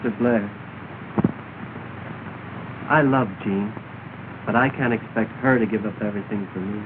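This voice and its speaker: A man playing an earnest downcast young gentleman. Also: lowish voice, normal speed, lightly acted. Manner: polite, formal, downcast speech. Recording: tinny, hissy 1930 film soundtrack.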